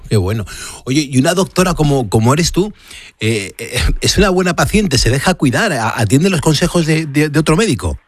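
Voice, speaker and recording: A man's voice: a little high, expressive, a little fast, no room sound, studio quality. A man with a Castilian Spanish accent hosting a live late-night radio show, talking conversationally and straight to the listener. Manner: conversational, addresses the listener directly. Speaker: radio host. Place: radio studio.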